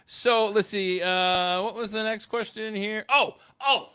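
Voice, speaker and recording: A man's voice: high voice, fairly even intonation, measured pace, bright, forceful tone, clear, resonant tone. A middle-aged man hosting a casual panel chat, easily flustered and often interrupting himself. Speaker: podcast host.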